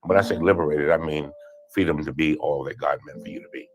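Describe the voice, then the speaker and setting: A man's voice: very deep voice, fairly even intonation, quick pace, faint music behind. A man speaking with impassioned, preacher-like conviction in a documentary clip about health disparities.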